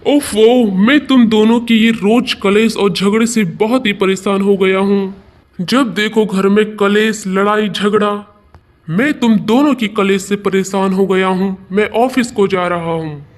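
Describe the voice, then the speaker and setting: Man playing an exasperated high-voiced young husband. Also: fairly even intonation, a little slow. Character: exasperated young husband caught between mother and wife. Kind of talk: Hindi cartoon moral-story dialogue.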